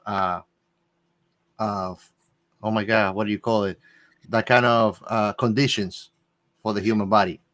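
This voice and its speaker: A man's voice: on the low side, fairly bright tone. A man speculating in a casual panel discussion, often searching for the right words. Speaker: podcast panelist.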